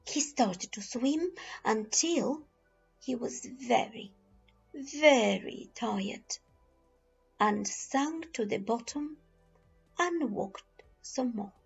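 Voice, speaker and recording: A woman reads a children's story very slowly, in a high, husky voice. She narrates expressively, lingering on phrases and leaving dramatic pauses. The sound is a little dull and lo-fi. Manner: deliberate, dramatic pauses and drawn-out repeated phrases. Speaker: storyteller reading a children's picture book. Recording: dull lo-fi recording with music behind. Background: music.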